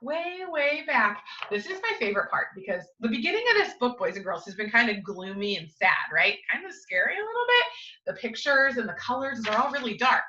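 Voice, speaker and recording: A woman reading a picture book aloud to young children like a teacher, with expressive, dramatic emphasis and coaxing questions. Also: on the high side, fast talker, highs cut off, slight background noise. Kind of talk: animated children's storytime read-aloud with asides and questions.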